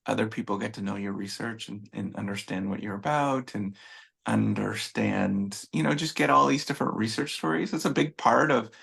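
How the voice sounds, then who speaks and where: A man's voice: fairly low pitch, normal speed, creaky, fairly bright tone, husky. A man hosting an interview, asking questions in a loose, rambling, hesitant way. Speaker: host. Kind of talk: casual interview chat.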